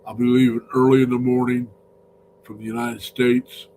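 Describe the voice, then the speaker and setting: A man hosting a casual live stream, his voice deepened by a cold, rambling and greeting viewers by name. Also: flat delivery, normal speed, mellow, a little hiss or hum, poor audio.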